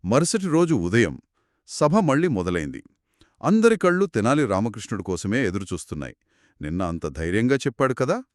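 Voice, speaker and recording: Animated male children's storyteller. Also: mid-range voice, fairly quick, no echo, clean studio sound. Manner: expressive, explanatory, acts out characters' quoted lines. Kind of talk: conversational two-person storytelling for children.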